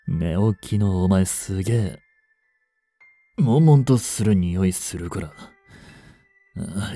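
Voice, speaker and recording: A man's voice: low voice, dark tone, no room sound, exaggerated voice. An actor playing a blunt, bossy vampire older brother in a Japanese romance voice drama, scolding as he holds back his hunger. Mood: flustered, restrained. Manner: blunt, rough-spoken, bossy.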